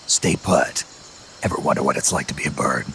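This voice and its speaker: An actor playing a gruff, stoic middle-aged American soldier in a video game, with a gravelly growl. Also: male voice, extremely low pitch, a little fast, very noisy. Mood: calm, dry. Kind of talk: dramatic video game acting.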